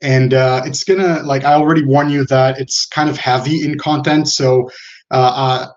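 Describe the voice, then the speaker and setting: A man from Brazil giving an online talk as the guest speaker, relaxed and often correcting himself as he goes. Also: mid-range voice, normal speed, lo-fi sound. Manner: relaxed, self-correcting, a few laughs. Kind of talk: online presentation.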